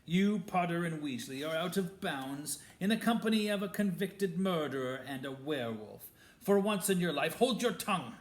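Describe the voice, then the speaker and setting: A man's voice: fairly high pitch, animated, normal speed, few pauses, voice pushed up, lightly acted. A man voicing a cold, spiteful male wizard professor who talks in a silky, sneering way. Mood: snapping. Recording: roomy echo.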